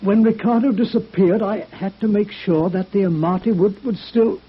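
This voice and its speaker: An actor playing a nervous, evasive and formal violin maker who stammers through a vintage radio drama. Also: male voice, high-pitched, normal speed, breathy edge. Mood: pleading, confessing. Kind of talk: 1950s radio drama acting. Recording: dull, noisy vintage radio recording.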